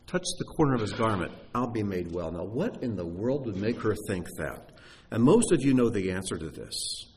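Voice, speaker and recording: A man preaching and teaching, animated and emphatic, often dropping to a hushed voice. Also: mid-range voice. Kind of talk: sermon.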